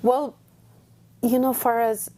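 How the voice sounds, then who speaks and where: A woman's voice: fairly high pitch, level tone, slow, warm tone. A woman born in Afghanistan, a humanitarian guest answering interview questions in a confident, upbeat way. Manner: confident, upbeat, laughs readily.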